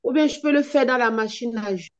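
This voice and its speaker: A woman's voice: on the high side, brisk, no echo. A woman asking a brief, practical question on a group call. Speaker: program participant. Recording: dull lo-fi call audio.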